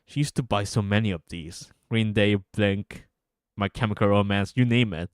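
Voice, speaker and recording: A man's voice: on the low side, normal speed, smooth, no echo, clean studio sound. A man streaming, reading narration from their own visual novel aloud with casual asides. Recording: music bed behind voice. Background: guitar music.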